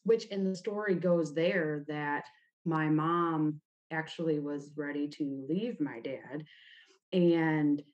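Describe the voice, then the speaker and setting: A woman with a notably low-pitched voice. She speaks as a guest in a personal interview, telling stories informally and dropping in "like" often. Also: takes it slowly, darkish tone, highs cut off. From United States, grew up in Ohio. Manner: informal, frequent "like" as a verbal habit. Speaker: podcast guest. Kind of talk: conversational personal storytelling in an interview.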